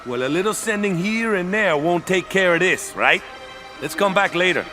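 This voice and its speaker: A man's voice: high-pitched, animated, moderate pace. An actor playing a laid-back, protective man in a video game audio drama, talking casually. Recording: game drama with loud music underneath.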